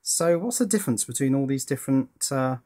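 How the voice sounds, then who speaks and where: British male hobbyist presenter, medium pitch, informal, thinking out loud with hesitations. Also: animated, brisk. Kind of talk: casual hands-on project narration. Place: indoors at a workbench.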